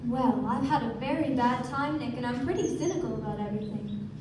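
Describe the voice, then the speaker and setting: A woman plays a young society wife with a high voice, gushing and affectedly romantic with a cynical streak. It is radio-play acting, echoey and far from the microphone. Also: brisk, natural voice. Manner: gushing, theatrical. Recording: echoey stage recording from afar, noisy.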